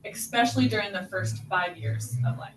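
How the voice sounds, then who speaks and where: A woman's voice: mid-range voice, normal speed. Deaf woman teaching in an echoey hall. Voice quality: deaf speaker's voice. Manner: patient, explains step by step. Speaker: sign language teacher. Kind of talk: teaching a class, answering questions. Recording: echoey hall from far off, noisy.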